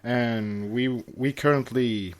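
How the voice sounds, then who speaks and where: A man's voice: medium pitch, fairly even intonation, slow pace, clean tone. A man co-hosting a casual fan podcast, starting his sentences hesitantly with repeated words. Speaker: podcast co-host.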